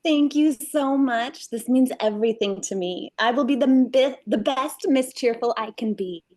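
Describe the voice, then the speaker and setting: A woman's voice: a little high, moderate pace, darkish tone, natural voice. A woman playing a smug, gushing eighteen-year-old pageant winner at a script table read. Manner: bragging, gushing.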